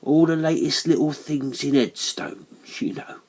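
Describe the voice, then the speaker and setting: A man's voice: on the high side, measured pace, darkish tone, slightly rough, pitched above the performer's own voice. A man voicing a big, friendly working-class stonemason with a blunt, colloquial, chatty manner, in an audiobook horror story. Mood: amused, chatty.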